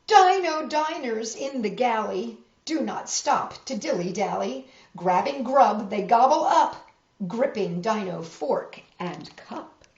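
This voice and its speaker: A woman reading a picture book aloud to children in a measured, expressive way, with emphasized rhymes and friendly asides. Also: fairly high pitch, a little hiss or hum. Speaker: storytime host reading picture books. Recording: roomy echo, slightly dull sound.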